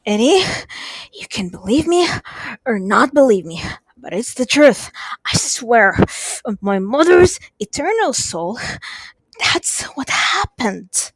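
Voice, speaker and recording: A woman's voice: on the high side, sing-song, slow, slightly rough, no echo, heavily acted, poor audio. A woman playing a wounded, desperate robber who is in pain and defiant, in an audio drama. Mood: pleading.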